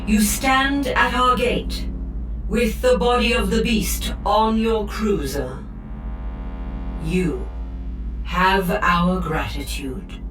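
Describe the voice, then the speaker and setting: A woman's voice: mid-range voice, extremely slow. An actress playing an imperious mutant queen, delivering a stately public proclamation in an audio drama. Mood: solemn, proclaiming. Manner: stately, declamatory. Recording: echoing, distant, music behind.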